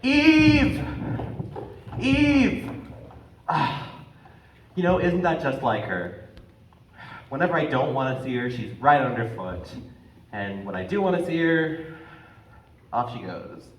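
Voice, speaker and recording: A man's voice: fairly high pitch, sing-song, slow pace, long pauses, a little hiss or hum. An actor playing Adam, the naive first man, speaking whimsically and singing with wonder in a stage musical. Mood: wistful. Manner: whimsical, wistful, sings with wonder. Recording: roomy, distant stage sound with orchestra.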